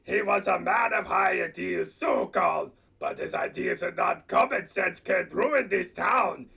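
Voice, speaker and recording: An actor playing a pompous, scornful rich old banker villain in a comedic radio play, declaiming each line. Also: male voice, sits high, very animated, a little slow, creaky, pushed and bright, slightly rough, distant mic, exaggerated voice. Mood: scornful, haughty.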